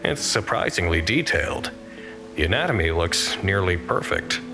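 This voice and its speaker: A man acting as a wry communications head in an audio drama, describing things in a dry, measured way. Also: sits low, very animated, over music. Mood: dryly observant. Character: wry, observant communications head reporting on an art show. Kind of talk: audio drama narration into a radio pack.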